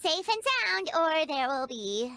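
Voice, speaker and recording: A woman voicing a small, excitable childlike fairy companion in a video game, squeaky and given to exclamations. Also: unhurried, creaky, slight background noise, heavily acted. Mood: bluffing. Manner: exclamatory, speaks of self in third person.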